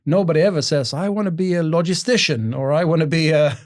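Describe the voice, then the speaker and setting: A man's voice: on the high side, fast, a little mellow. A man talking as a podcast guest in an upbeat, enthusiastic way about his own work. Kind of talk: casual interview chat.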